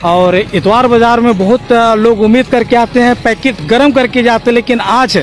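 High-pitched man vlogging outdoors. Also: fairly even intonation, moderate pace. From Jharkhand, India. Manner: mixes English words into Hindi. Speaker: vlogger, host. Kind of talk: casual on-the-spot market commentary. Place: busy open-air village market. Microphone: handheld phone at arm's length. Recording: outdoor crowd noise with music behind.

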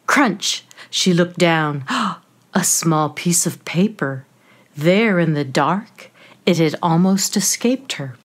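A woman author reading a children's story in rhyme, with an expressive, measured storytelling manner. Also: medium pitch.

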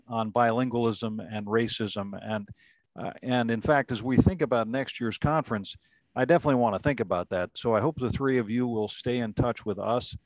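A man's voice: on the low side, no room sound, low-quality recording. A middle-aged man moderating a virtual panel over a headset mic, talking with frequent restarts and fillers. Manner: warm-mannered, restarts, many fillers. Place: home room, indoors. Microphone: headset mic on video call.

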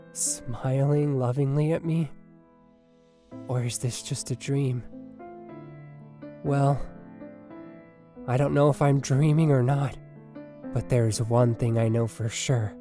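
A man voicing a tender, lovestruck male fox in a comic dub, speaking in halting, earnest wonder. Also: fairly high pitch, expressive, a little mellow, soft background music. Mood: tender devotion. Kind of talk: dramatic comic dub monologue.

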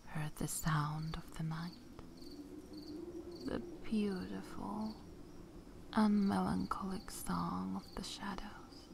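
An ancient vampire mistress played by a woman speaks in a breathy whisper, extremely slowly, with a creaky edge. She is seductive, proud and vengeful, pausing dramatically in an ASMR roleplay over faint background noise. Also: mid-range voice, steady tone. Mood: tender, intimate. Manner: slow, deliberate, dramatic pauses.

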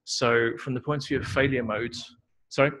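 A man's voice: mid-range voice, fairly even intonation, normal speed, fairly bright tone, a little hiss or hum, low-quality recording. A man answering audience questions at a tech meetup, casual and explanatory, full of "you know" and "sort of". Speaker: tech talk co-presenter. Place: meetup talk venue indoors.

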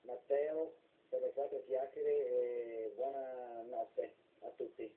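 Man, medium pitch, casual radio operator chat, distant, narrow phone-like sound. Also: level tone, slow, slight background noise. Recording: tinny distant radio transmission.

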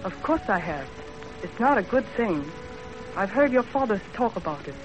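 A woman's voice: medium pitch, very animated, moderate pace, music in the background, lightly acted, poor audio. An actress playing a pert young Chinese servant girl in a 1930s radio drama on an old recording. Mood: matter-of-fact.

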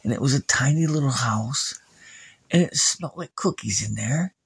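A man's voice: mid-range voice, expressive, normal speed, creaky, breathy edge, no echo. A man telling an improvised scary bedtime story as storyteller, in a folksy, plain-spoken manner.

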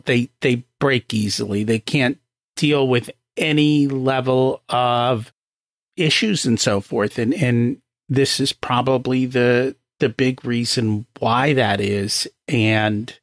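Loud-voiced middle-aged male podcast host. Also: mid-range voice, dry recording, studio quality. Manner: animated, personal storytelling. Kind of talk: conversational interview.

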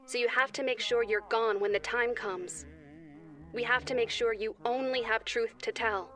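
Woman voicing a gentle high-voiced cartoon angel rabbit. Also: fairly even intonation, normal speed, lightly acted. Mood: calm, instructive. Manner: gentle, instructive. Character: gentle female angel rabbit, kids' show teacher. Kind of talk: children's cartoon moral lesson.

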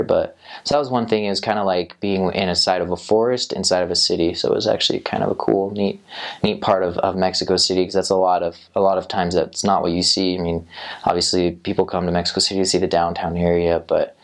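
A young man on a lapel mic in a small studio, answering interview questions about his time as a missionary, rambling with many fillers and restarts. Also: sits low. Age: young adult. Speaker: returned missionary. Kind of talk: interview answers, casual recollection. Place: small indoor studio set.

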